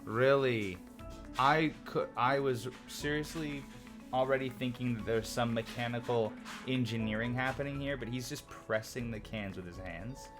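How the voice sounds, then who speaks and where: A man chatting on a livestream, loud-voiced and animated, reacting to chat and rambling through opinionated stories. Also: mid-range voice, moderate pace. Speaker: streamer. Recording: music playing clearly behind voice. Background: music.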